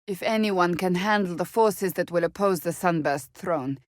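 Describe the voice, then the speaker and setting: A woman's voice: lowish voice, moderate pace, no echo, studio quality, natural voice. An actress voicing a stern, proud, devout warrior woman in a fantasy video game, with formal, clipped, earnest delivery. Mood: earnest, measured. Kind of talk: dramatic fantasy game dialogue.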